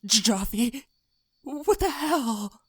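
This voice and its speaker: Young princess, female actor: high, very slow and halting, fearful, fantasy audio drama. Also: animated, fairly bright tone, husky, dry recording, studio quality, exaggerated voice. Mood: shocked. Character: frightened young princess, hesitant and worried for her knights.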